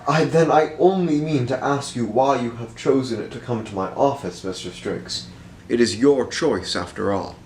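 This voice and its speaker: A man playing a cold, sinister figure who questions a visitor in formal, measured speech that slips into rhyme, recorded in a roomy space. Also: mid-range voice, darkish tone, natural voice. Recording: roomy room, faint noise.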